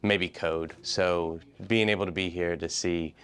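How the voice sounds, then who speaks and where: Brief: male teacher, low smooth voice, earnest interview bite. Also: steady tone, measured pace, a bit bright. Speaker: high school teacher. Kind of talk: interview soundbite.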